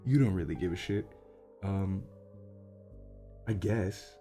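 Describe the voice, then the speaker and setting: American man, deep voice, casual outro chat, rambling, hesitant, self-deprecating, sweary. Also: takes it slowly, warm tone, soft background music. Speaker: voice actor, channel creator.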